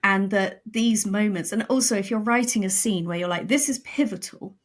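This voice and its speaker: Woman, mid-pitched voice, animated and emphatic, conversational explaining, podcast guest. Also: normal speed. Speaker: guest, audio drama writer.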